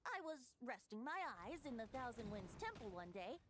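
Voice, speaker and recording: A woman's voice: fairly high pitch, very animated, normal speed, noisy background. A woman playing a carefree laughing young bard. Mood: storytelling. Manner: playful, laughing, storytelling. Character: playful young male bard, carefree storyteller.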